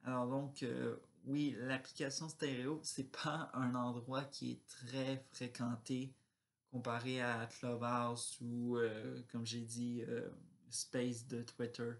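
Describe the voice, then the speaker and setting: A man talks casually to his vlog viewers at a slow pace. His delivery is halting and rambling, as he searches for words and lets sentences trail off. His pitch sits in a middle male range. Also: little pitch movement, vocal fry. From Quebec. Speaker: vlogger.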